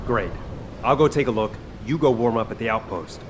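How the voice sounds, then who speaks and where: A gruff, impatient soldier from a video game , acted by a man. His delivery is wry and sardonic, swinging widely in pitch, with clear ambient noise behind it. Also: lively intonation, a little fast, lightly acted. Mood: wry concern. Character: gruff, exasperated male soldier who cooks, wry and impatient.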